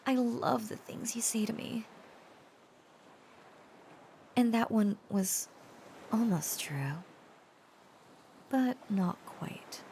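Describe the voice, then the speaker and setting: Woman acting a slow, whispery, tender girlfriend. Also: medium pitch, a little hiss or hum. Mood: affectionate, teasing. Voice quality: breathy, often dropping to a whisper. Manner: hesitant, gentle, halting. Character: tender, protective young dragon-descended woman confessing to her boyfriend. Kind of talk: intimate roleplay audio drama, softly acted.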